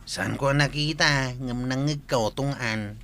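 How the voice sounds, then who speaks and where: A man's voice: medium pitch, a little slow, fairly bright tone. A man acting a contrary, matter-of-fact village nephew in a comedic audio drama. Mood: insistent.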